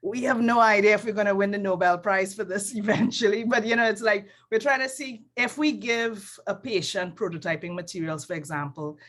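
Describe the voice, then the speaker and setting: A woman with a Trinidadian accent, a design professor speaking in a casual panel conversation, thoughtful and hesitant, with many pauses and self-corrections over a video call. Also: mid-range voice, fairly bright tone. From Trinidad and Tobago.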